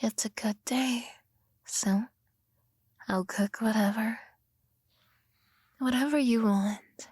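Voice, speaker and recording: Breathy, slow yandere girlfriend, acted by a woman. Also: on the high side, fairly even intonation, no room sound. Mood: sweetly controlling. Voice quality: breathy, often whispering. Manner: slow, coaxing, softly threatening. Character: possessive yandere girlfriend, sweetly menacing, obsessive kidnapper. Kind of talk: intimate ASMR roleplay acting.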